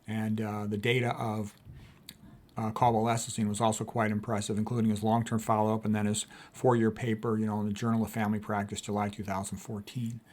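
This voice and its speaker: A man's voice: lowish voice, flat delivery, brisk, a little mellow. Man giving an informal health lecture. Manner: emphatic, opinionated, repeats points plainly. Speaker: physician presenting health lecture, author. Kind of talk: informal explanatory lecture reading and commenting on quotes.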